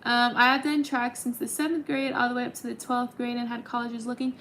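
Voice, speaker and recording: A woman's voice: on the high side, level tone, fairly quick, fairly bright tone, slight background noise. A woman in her twenties hosting a casual livestream, chatting and answering viewer comments with lots of ums. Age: 20s. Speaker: livestream host.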